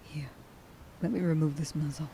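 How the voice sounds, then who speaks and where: A woman playing a stern, grieving huntress in a dramatic ASMR roleplay monologue, halting and intense in her delivery. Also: deep voice, steady tone, gravelly, dark tone, a little hiss or hum. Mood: pleading, shaken. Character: stern, grieving young huntress who hates werewolves.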